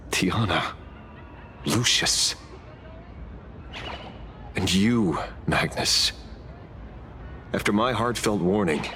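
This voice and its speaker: Deep, slow, stern old mentor. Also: male voice. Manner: measured, solemn warning. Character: stern older male mentor, grave and patient. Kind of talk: dramatic game voice acting. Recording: background music under the voice.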